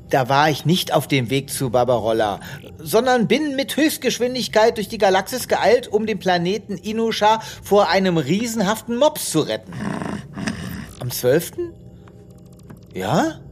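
An actor playing a pompous, easily indignant galactic veterinarian hero in a theatrical, blustering comic science-fiction audio drama. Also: male voice, sits high. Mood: flustered.

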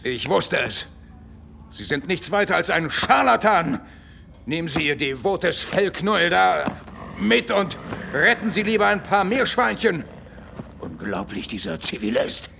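An actor playing a scornful, sarcastic, irascible military general with a gravelly voice in an audio drama. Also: male voice, high voice, big pitch swings, measured pace, noisy background, heavily acted. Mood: contemptuous.

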